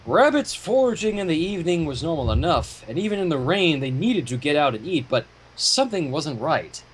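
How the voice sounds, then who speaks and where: A man reading fan fiction aloud in an expressive way, with casual asides. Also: a little high, a little fast, rough recording. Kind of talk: story narration with casual commentary. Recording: home microphone with clear noise.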